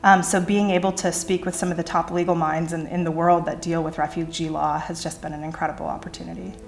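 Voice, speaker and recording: A woman's voice: on the low side, little pitch movement, quick pace. A woman from Texas, an anthropology doctoral student, speaking articulately with a few fillers in a seated interview. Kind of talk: interview testimony. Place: indoor room with curtain backdrop. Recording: roomy echo, faint music.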